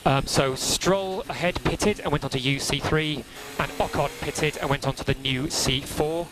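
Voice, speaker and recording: A man working as a race engineer, giving calm, procedural briefings over team radio and repeating key instructions in a measured way. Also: a little high, over music. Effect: team radio transmission. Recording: crackly noisy motor racing team radio.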